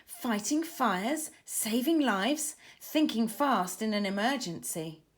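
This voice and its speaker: A woman's voice: a little high, lively intonation, slow. A woman voicing a brave, eager young girl firefighter in a children's storybook. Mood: proud.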